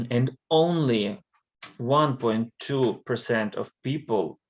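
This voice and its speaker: A man from Western Ukraine, a native Ukrainian speaker, giving a hesitant live-streamed presentation in English with frequent pauses and fillers. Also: medium pitch, darkish tone, muffled recording. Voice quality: linguist.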